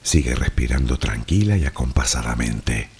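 A man from the Canary Islands with a Canarian Spanish accent, narrating a guided sleep relaxation in a soft, unhurried, soothing way. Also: very deep voice, animated, a little hiss or hum.

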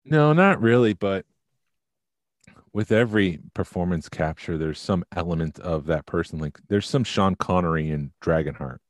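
A man co-hosting a podcast, chatting casually and thinking out loud about films. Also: low voice, expressive, moderate pace, no room sound, studio quality. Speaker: podcast co-host.

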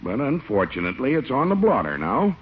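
An actor playing a jovial, folksy small-town police chief in an old-time radio comedy. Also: male voice, mid-range voice, huge pitch swings, fairly quick. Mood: apologetic. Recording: muffled, noisy vintage radio recording.

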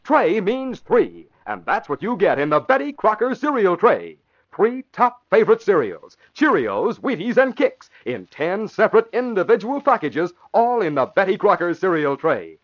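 An American male announcer from the golden age of radio. His fairly high voice swings widely in pitch as he declaims with dramatic emphasis. The sound is muffled, like a vintage broadcast. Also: sing-song, moderate pace. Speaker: announcer and narrator. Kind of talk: 1940s radio announcing, dramatic scripted narration.

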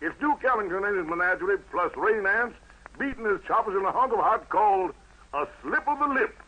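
A man's voice: sits high, animated, brisk, muffled recording. A man hosting a 1940s armed forces radio show in theatrical hip slang patter, on a vintage broadcast recording.

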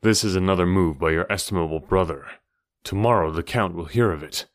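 A man voicing a grave, steady adventurer hero with a serious, measured delivery in an audiobook. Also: deep voice, dry recording, studio quality. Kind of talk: audiobook character dialogue.

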